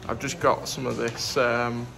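A man's voice: medium pitch, little pitch movement, measured pace, vocal fry. A young man chatting casually to a handheld phone camera outdoors, giving a running commentary on a camping road trip with lots of fillers. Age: young adult. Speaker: vlogger. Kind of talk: casual travel vlog. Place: outdoors on a windy coast and campsite. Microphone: phone held at arm's length. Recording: loud wind buffeting the mic. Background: loud wind noise.

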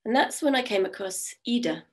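A woman in her fifties with a croaky voice from a cold, telling folk stories in a chatty way on a video call from home. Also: on the high side, expressive, fairly quick. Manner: warm, chatty, with many fillers. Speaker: storyteller. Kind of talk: oral storytelling. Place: home room. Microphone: video call webcam.

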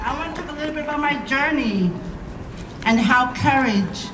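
A woman from Somalia with a Somali accent, giving a public speech at a rally in short, measured phrases. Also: high-pitched, over a noisy crowd. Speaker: rally speaker. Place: outdoor rally, recorded from within the crowd. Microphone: podium microphone heard from far camera. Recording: distant, echoey outdoor PA with noise.

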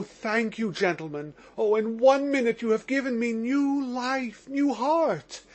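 A man's voice: high-pitched, darkish tone, pitched above the performer's own voice. A man voicing an agitated, slightly drunk young man under suspicion, talking nervously and effusively. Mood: pleading, ecstatic. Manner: nervous, rapid, pleading.

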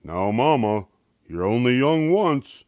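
Guy doing a papa bear from a kids book, big loud blustery voice. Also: very animated, slow, no room sound, studio quality. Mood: easygoing. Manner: booming, blustery.

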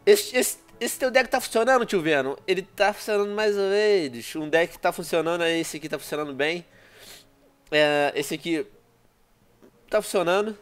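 Brazilian gaming commentator, man, slightly high pitch, nasal from a cold, soft music behind. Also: normal speed, clear, resonant tone. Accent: Brazilian Portuguese. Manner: chatty, addresses viewers constantly, excitable outbursts. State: congested with a cold. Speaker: gaming YouTuber. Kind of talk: casual gameplay commentary. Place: small room at home, indoors. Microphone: headset.

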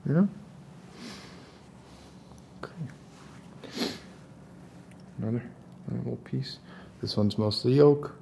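A man in his forties playing an unstable post-apocalyptic survivor in an ASMR roleplay, coaxing softly in halting, rambling phrases. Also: medium pitch, creaky, a little hiss or hum.

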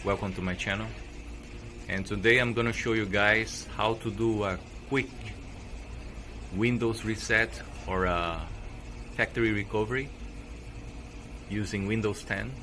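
A man's voice: on the low side, fairly even intonation, a little slow, sharp tone, a little hiss or hum. A man hosting a tech channel, walking viewers through a computer reset in a plain, hesitant way with fillers. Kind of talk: casual how-to tutorial.

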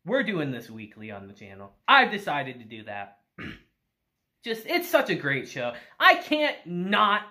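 Man in his thirties, casual anime reviewer, lively swinging pitch, bright voice, laughs a lot. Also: unhurried. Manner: animated, gushing, laughs often. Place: small room indoors.

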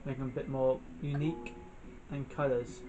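A man's voice: mid-range voice, little pitch movement, normal speed, muffled recording. A young man giving casual, off-the-cuff commentary as he plays a video game, often muttering to himself. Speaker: gamer, channel host. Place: indoors, in front of a TV screen. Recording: game music behind the voice. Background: video game music.

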